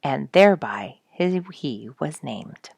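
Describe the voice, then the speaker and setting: A woman reading a nature story aloud as a storyteller, with expressive narration and deliberate, dramatic emphasis. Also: a bass voice, vocal fry, breathy edge, no echo, clean studio sound. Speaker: storyteller reading an audiobook chapter.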